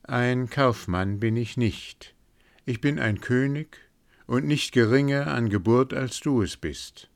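A man's voice: medium pitch, takes it slowly, vocal fry, dry recording, voice pushed up. A man voicing a young king in a fairy tale, speaking earnestly and solemnly. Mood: proud.

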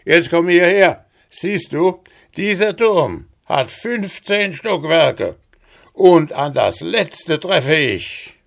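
A man voicing a huge boastful forest giant who blusters and mocks loudly. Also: on the high side, lively intonation, slow pace, creaky, pitched above the performer's own voice, full character voice. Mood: grudging. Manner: loud, blustering and mocking.

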